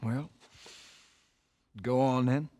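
Middle-aged man, Southern US drawl, medium pitch, wary, earnest, plain-spoken family man, video game drama. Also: steady tone, extremely slow, mellow. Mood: sceptical. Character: earnest, wary younger brother, settled family-man survivor. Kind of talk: cinematic video game acting. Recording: music behind the voice.

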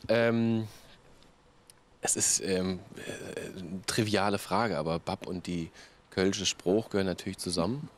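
A man's voice: deep voice, steady tone, unhurried. A young man interviewing outdoors on a rooftop with a handheld mic, asking questions in a casual, somewhat hesitant way. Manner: casual, hesitant, laughs at himself.

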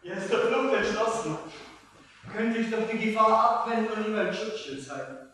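A man's voice: high-pitched, moderate pace, pushed and bright. A man playing an ardent, earnest young nobleman in a stage play, declaiming theatrically. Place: small inn theatre stage, far camera. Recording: echoey hall from far off, noisy.

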